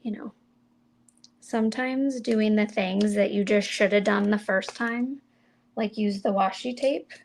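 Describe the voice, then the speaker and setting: Middle-aged woman, slow unhurried card-making chat, gravelly edge, faint hiss behind. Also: medium pitch, fairly even intonation. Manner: chatty, mild and soft-spoken, thinking aloud while working. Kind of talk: casual crafting livestream chat.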